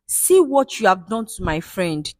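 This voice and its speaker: A woman's voice: medium pitch, very animated, measured pace, a little mellow, no echo. A woman voicing a scheming Nigerian village woman. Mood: scolding. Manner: gloating, plotting.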